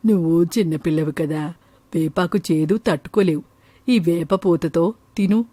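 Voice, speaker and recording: A woman voicing a kindly old village grandmother in an animated moral story. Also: lowish voice, a little slow, dry recording, pitched below the performer's own voice. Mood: caring.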